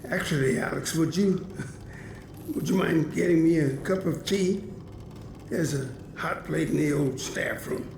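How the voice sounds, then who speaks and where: A slow, gravelly, weary older father. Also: fairly high pitch, slight background noise. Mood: weak, gentle. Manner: halting, confiding, weighty. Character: weary, troubled older scientist father, gentle but bitter. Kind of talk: dramatic video game acting. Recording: echoey, distant, under loud game music.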